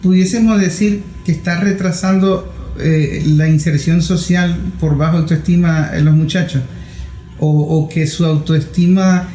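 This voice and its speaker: A man working as an interviewer, setting out his own views at length in a deliberate way. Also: on the high side, fairly even intonation, dark tone. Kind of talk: interview questions with long premises. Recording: roomy echo.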